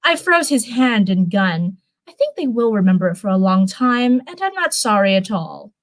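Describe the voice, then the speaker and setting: Young witch by a female reader, cold, defiant, retorting, natural mid pitch, storybook dialogue. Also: very animated, normal speed, lightly acted. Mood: unrepentant.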